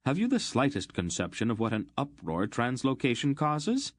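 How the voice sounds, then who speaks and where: A man's voice: medium pitch, big pitch swings, measured pace, darkish tone, no room sound, pitched above the performer's own voice. A man doing a cold, ancient sorceress. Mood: furious. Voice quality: cold, clipped, scathing. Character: ancient stern sorceress woman, cold and commanding.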